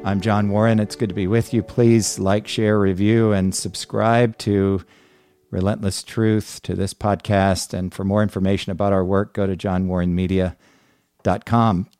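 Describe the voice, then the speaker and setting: A man's voice: lowish voice, little pitch movement, moderate pace, a little mellow, soft background music. A middle-aged man hosting an interview podcast. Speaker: podcast host.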